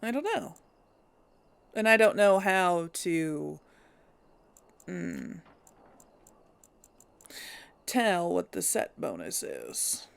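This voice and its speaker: Brief: female let's play host, slow and gravelly, hesitant, thinking out loud. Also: fairly low pitch, big pitch swings. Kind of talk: casual gameplay commentary.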